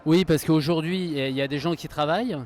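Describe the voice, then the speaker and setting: A man asking informal questions as a street interviewer, halting and rephrasing as he goes. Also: a little high, darkish tone. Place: outdoors in the street at a demonstration. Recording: faint street noise.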